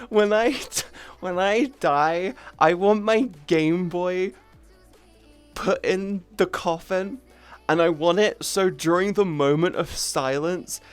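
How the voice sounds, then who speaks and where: A woman is chatting casually on a live stream. Her talk is informal and rambling, peppered with swearing. Music plays faintly behind her. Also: mid-range voice. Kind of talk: casual chat with stream viewers. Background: background music.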